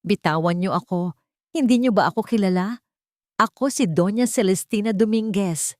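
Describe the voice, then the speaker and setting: A woman's voice: medium pitch, normal speed, no room sound, studio quality, pitched above the performer's own voice, lightly acted. A woman voicing a haughty, scheming old Filipino matriarch, indignant and trembling, in an audio story.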